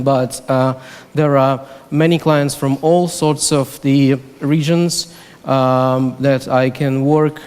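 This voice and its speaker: Slow, halting Ukrainian-accented man. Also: medium pitch, a little mellow, smooth. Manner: halting, many fillers. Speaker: regional center director on a panel. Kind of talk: panel self-introduction. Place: large conference hall. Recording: hall reverb from a conference stage.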